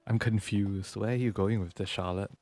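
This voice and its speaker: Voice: deep voice, steady tone, normal speed, dark tone. A streamer giving casual commentary over a video game, with game music behind.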